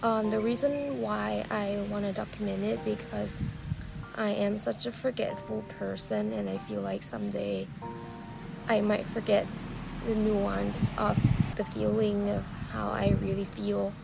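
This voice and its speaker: Thai woman in her twenties with a Thai accent, soft reflective vlog confession, very slow and heavily gravelly, music under her, recorded outdoors. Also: mid-range voice, rough recording. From Thailand. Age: 20s. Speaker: vlogger. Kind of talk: personal storytelling to camera. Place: outdoors on a lawn. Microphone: camera on a tripod, seated facing it.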